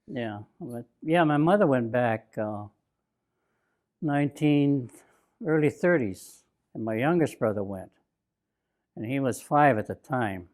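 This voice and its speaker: A man in his nineties from Bainbridge Island, Washington, telling his own memories in an oral history interview, slow and reflective, halting with fillers and restarts. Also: medium pitch, animated, darkish tone. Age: 90s. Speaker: interviewee, WWII-era Japanese American. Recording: interview recording.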